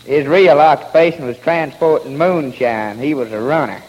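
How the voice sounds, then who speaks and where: A man's voice: on the high side, normal speed, a little mellow, in a large hall, rough recording. A man giving a folksy witness account on old radio. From Southern US. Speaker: local eyewitness.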